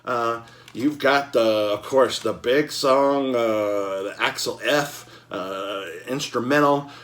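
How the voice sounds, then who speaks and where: A man chatting casually and enthusiastically as host of a collecting show, with an excitable, slangy, folksy manner full of exclamations. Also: medium pitch, takes it slowly. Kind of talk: casual enthusiastic vlog chat.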